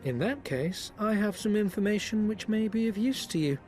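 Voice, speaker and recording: A man in a game cutscene giving a formal, measured briefing. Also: sits high, animated, darkish tone, faint music behind. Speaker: informant briefing the heroes. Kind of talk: video game cutscene acting.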